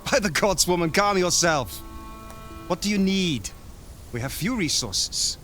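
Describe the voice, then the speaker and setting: An actor playing a practical, wry young Viking settlement leader in a video game scene. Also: male voice, sits high, animated, unhurried, fairly bright tone, faint music behind. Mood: exasperated, wry. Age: young adult.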